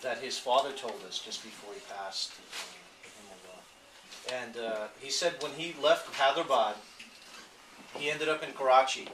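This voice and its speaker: A man telling an unscripted anecdote to a gathering in a halting, hesitant way. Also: mid-range voice. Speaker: speaker at a memorial gathering. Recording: echoey room, distant mic, some noise.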